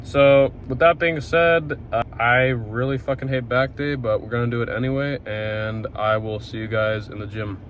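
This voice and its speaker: A man's voice: mid-range voice, steady tone, normal speed, a bit bright. Young guy rambling to the camera outside, cusses casually, faint traffic behind. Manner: candid, swears casually. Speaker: fitness vlogger.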